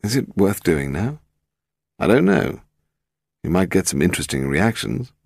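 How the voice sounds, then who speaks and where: A calm gentleman detective, played by a male narrator in an audiobook. He is wry and measured, asking questions in a low voice with a creaky edge. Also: dry recording, studio quality, exaggerated voice. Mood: weary probing.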